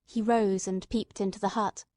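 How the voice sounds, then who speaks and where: A woman's voice: medium pitch, steady tone, normal speed, darkish tone, no room sound, studio quality. A woman reading scripted first-person literary narration as an audiobook narrator.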